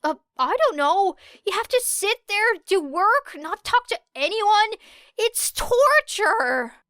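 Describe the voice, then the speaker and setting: A woman's voice: very high voice, big pitch swings, takes it slowly, sharp tone, no room sound, clean studio sound, voice pushed up, heavily acted. A woman voicing a laid-back teenage schoolboy who complains and grumbles, in an audiobook. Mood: grousing. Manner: groaning, complaining.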